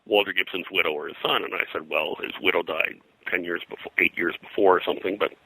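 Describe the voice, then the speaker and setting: Deep, very bright-voiced older man, halting. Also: steady tone, creaky, husky, dry recording, rough recording. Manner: halting, discursive, hesitant phrasing. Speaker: interview guest, pop culture historian. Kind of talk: casual interview, anecdotal storytelling.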